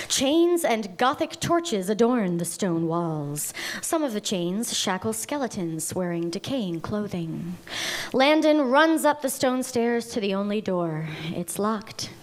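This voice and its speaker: A woman reading the stage directions at a live table read in a measured, deliberate way. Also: mid-range voice.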